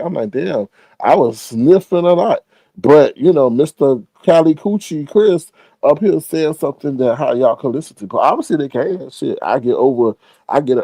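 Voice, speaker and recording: A congested man hosting a casual gossip commentary stream, animated and slangy, laughing and jumping high on his reactions. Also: dry recording. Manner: animated, laughing, loud pitch jumps on reactions; slangy, says "child" often. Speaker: commentary channel host.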